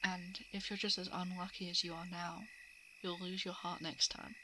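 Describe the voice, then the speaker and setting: A woman's voice: fairly low pitch, level tone, moderate pace, lightly acted. A woman playing a guarded, coolly predatory shapeshifting monster who eats hearts, in an ASMR roleplay monologue. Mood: dismissive, wistful. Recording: clear background noise of night insects, frogs. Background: crickets, frogs and night insect ambience.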